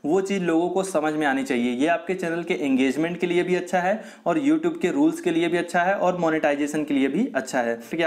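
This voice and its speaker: A man speaks as a tech video host. His voice sits a bit high. He addresses viewers directly and explains step by step, leaning on repeated filler phrases. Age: 20s. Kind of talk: explainer to camera. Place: small room indoors.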